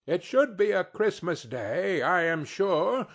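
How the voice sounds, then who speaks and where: A man's voice: big pitch swings, slow pace, a bit bright, full character voice. A man voicing an indignant mother who speaks in a raised, tart voice. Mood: scornful. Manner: raised pitch, tart and scornful. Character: indignant working-class mother, sharp-tongued.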